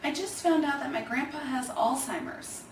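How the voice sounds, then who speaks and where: An earnest, caring young girl from a children's storybook, played by a woman reader, speaks expressively in a fairly high voice. The recording is roomy, with the mic at a distance. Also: moderate pace, a little hiss or hum, close to an everyday voice.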